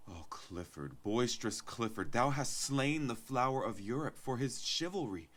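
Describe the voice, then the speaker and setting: An actor playing a tender, proud young heir, declaiming Shakespearean verse in a lamenting way. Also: male voice, mid-range voice, animated, moderate pace, fairly bright tone. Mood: grief-stricken.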